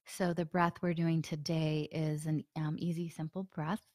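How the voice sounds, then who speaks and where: A woman guiding a meditation group with a slight hoarseness from a sore throat, talking softly with many fillers and sometimes dropping to a breathy near-whisper. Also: sits low, a little slow, no echo, studio quality. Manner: soft, relaxed, many fillers. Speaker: meditation guide. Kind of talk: guided meditation with a live group.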